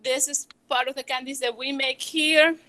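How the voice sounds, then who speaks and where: A woman from Venezuela with a Venezuelan Spanish accent, a small business owner giving a nervous, halting talk with many restarts. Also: sits high, steady tone, pushed and bright. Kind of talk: presentation to educators.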